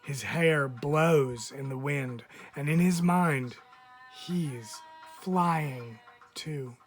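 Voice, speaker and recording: A man reading a picture book aloud as a teacher would, expressively, with deliberate dramatic phrasing and frequent pauses. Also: on the high side. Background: background music.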